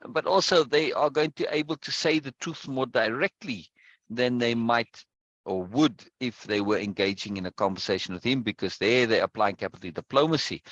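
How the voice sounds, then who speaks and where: A man's voice: lowish voice, no room sound, lo-fi sound. Man explaining at length, always checking in. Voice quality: loud. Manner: explains at length with repeated check-ins like "right?" and "yes?". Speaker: discussion leader. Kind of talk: casual chat on a video call. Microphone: close boom mic.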